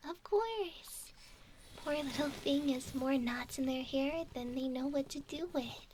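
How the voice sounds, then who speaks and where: Casting: woman as a studious, caring mermaid for ASMR, high soft voice, measured and slightly formal, often whispered, very unhurried. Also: background noise. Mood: tender, careful. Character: bookish, doting young female mermaid named Nemu.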